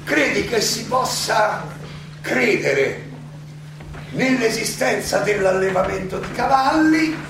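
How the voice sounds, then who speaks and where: Echoey, high-voiced old philosopher. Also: male voice, gravelly, heavily acted. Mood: pressing, questioning. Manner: rhetorical, halting then insistent, questioning. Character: elderly Socrates, indignant, ironic Athenian philosopher defending himself. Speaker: stage actor. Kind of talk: theatrical courtroom monologue. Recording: echoey, distant, noisy live stage recording.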